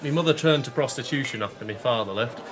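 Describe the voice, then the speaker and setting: A man's voice: mid-range voice, fast talker, a crowd in the background, close to an everyday voice. An actor playing a cheeky but kindly young working-class Victorian man with an English accent, informal and slangy, in a period radio drama. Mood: sorrowful.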